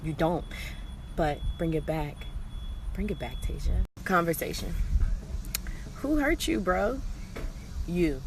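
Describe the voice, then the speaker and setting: A young woman with a slightly low voice chats casually as she shares a personal story. She is candid and slangy, often repeating phrases for emphasis. There is faint noise behind her voice. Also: animated, slow pace, pauses often. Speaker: storytelling vlogger.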